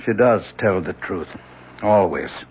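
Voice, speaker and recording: A formal, principled American professor. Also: male voice, fairly low pitch, darkish tone. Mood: stiff insistence. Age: middle-aged. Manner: formal, measured, educated. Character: principled, stiff middle-aged professor and protective father. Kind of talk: old-time radio drama acting. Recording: muffled, faintly hissy old radio recording.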